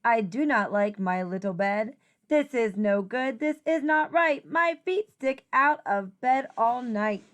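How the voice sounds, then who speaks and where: A woman with a high voice reads a children's picture book aloud as a teacher would. Her delivery is slow and deliberate, with an expressive, singsong feel for the rhymes.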